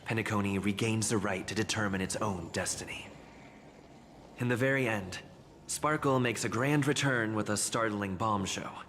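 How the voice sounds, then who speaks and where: A man narrating a scripted story recap in a game in an even, formal storytelling manner. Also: lowish voice, normal speed, a little hiss or hum.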